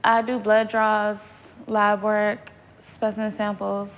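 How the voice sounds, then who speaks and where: A woman's voice: mid-range voice, monotone, slow, poor audio. A woman in her thirties, a dating show contestant chatting in a giggly, bubbly way. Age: 30s. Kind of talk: casual chat.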